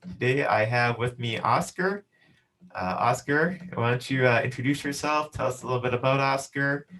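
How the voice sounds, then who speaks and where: A man's voice: medium pitch, moderate pace, fairly bright tone. A man hosting an interview podcast, asking casual questions with frequent fillers. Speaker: podcast host.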